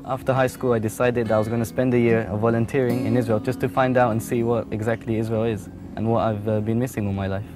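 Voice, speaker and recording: A young man talks about his army life at an ordinary middle pitch. He pauses often on small fillers, relaxed and unhurried. Guitar music plays clearly behind him. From London, England. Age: young adult. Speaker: paratrooper soldier. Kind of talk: personal interview testimony. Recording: music playing underneath.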